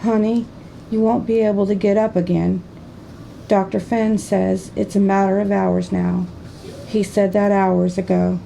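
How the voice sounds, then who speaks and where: In an audiobook, a gentle older mother speaks in a slow Southern accent, as a male narrator puts her on. Her voice is soft and gravelly, dark and mellow, and she stays soothing while holding back tears. Her pitch barely moves. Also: little pitch movement, a little hiss or hum, full character voice, low-quality recording. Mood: tender, grieving. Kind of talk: audiobook character voice.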